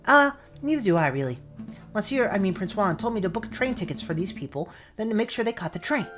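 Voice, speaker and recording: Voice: medium pitch, animated, fast, fairly bright tone, faint music behind, pitched above the performer's own voice, close to an everyday voice. A performer voicing a flustered mouse messenger in a game, hesitant and pleading, repeating words nervously.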